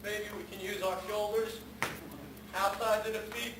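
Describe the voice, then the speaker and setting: A man's voice: sits high, little pitch movement, normal speed. A man from the Bronx gives an emphatic live talk and demonstration, repeating phrases for effect. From New York City, the Bronx. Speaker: soccer performer and presenter. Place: large room at a press conference. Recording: echoey hall, distant, clearly noisy.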